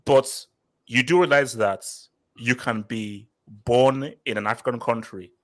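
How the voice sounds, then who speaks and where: A man born in London and raised in Nigeria, hosting a casual football chat and reading out viewer comments, talking in an animated way and often repeating words. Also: mid-range voice, measured pace. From born in London, grew up in Nigeria. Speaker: podcast host.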